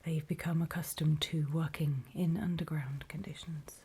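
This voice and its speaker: A woman playing a wary, battle-worn survivor of a zombie apocalypse, speaking in a breathy, deliberate whisper in an ASMR horror roleplay. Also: deep voice, steady tone, exaggerated voice. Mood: wary, instructive. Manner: hushed, deliberate, halting storytelling with pauses.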